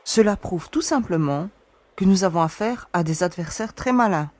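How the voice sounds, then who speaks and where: A woman's voice: lowish voice, normal speed, dry recording. A woman voicing Mazas, a shrewd young space explorer. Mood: assured. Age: young adult. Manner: calm, assured and mildly teasing. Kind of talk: audio drama acting.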